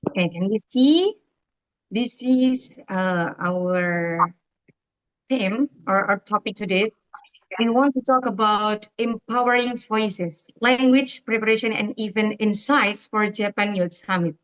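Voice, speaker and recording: A woman's voice: medium pitch, slow, a bit bright, lo-fi sound. A young woman with an Indonesian accent, speaking English as a second language, presenting an online orientation with many fillers and restarts. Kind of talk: presenting on a video call. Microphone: video call.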